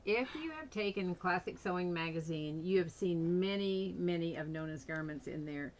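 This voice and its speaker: A bubbly woman host with a somewhat low voice jokes with her guest and often trips over her own sentences. A faint hum sits behind her. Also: moderate pace, poor audio. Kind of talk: casual chat.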